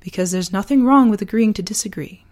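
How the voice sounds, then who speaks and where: A woman hosting a personal podcast, talking earnestly and deliberately, her voice partly gone from a sore throat. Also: medium pitch, darkish tone. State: losing voice from a sore throat virus. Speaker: podcast host. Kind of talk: personal podcast, reflective essay and casual chat.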